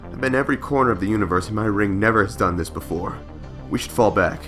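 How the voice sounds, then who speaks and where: Man acting a brash, loud, quick-talking young superhero. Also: fairly low pitch, lightly acted. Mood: agitated. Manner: urgent, loud, quick, exclaiming. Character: brash, cocky young male space superhero, easily rattled. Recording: background music under the voice.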